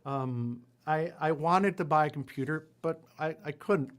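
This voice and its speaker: A man's voice: a little high, unhurried. A man in his forties, raised in Puerto Rico with Spanish as his first language, telling his story in a casual interview with lots of fillers and self-deprecating asides. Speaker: guest, lawyer and entrepreneur.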